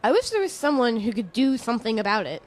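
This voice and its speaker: A woman playing a friendly, reflective middle-aged chemistry professor in an audio drama, speaking in a measured, explanatory way. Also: a little high, sing-song, poor audio. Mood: friendly, concerned. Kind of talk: conversational audio drama acting.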